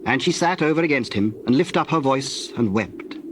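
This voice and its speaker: A man narrating scripture from a script in a solemn, measured manner. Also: medium pitch, expressive, noisy background.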